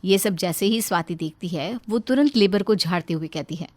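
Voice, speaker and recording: A woman's voice: on the low side, fairly quick, darkish tone, no echo. A woman narrating a scripted story.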